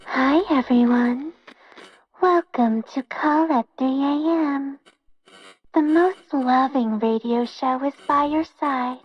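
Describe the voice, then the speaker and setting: Slow, high-voiced late-night radio hostess. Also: female voice. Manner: measured, gentle, beckoning. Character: soothing, eerily inviting female late-night radio host. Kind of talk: game voice acting as radio broadcast. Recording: muffled band-limited radio-like game audio.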